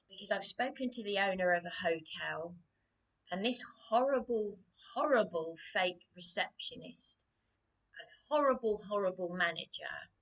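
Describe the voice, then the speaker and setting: Hoarse, slow-talking woman near whispering. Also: fairly low pitch, fairly bright tone, rough recording. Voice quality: hoarse, often dropping to a whisper. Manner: distressed, hesitant, halting with many restarts. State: losing her voice, coughing. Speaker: vlogger. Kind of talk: personal vlog monologue.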